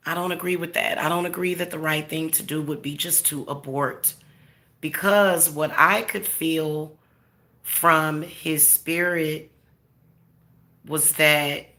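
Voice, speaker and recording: Stuffed-up lady medium talking slow and low, lots of ums and restarts. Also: pushed and bright. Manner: halting, many fillers and restarts. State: congested. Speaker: spiritual medium vlogger. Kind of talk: casual emotional monologue.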